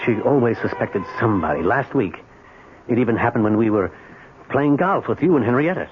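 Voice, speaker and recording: An actor playing a beleaguered middle-aged husband, earnest and insistent, in old-time radio drama style. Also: male voice, medium pitch, expressive, brisk, faint music behind, natural voice. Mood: pleading, defensive. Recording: noisy, dull old radio broadcast.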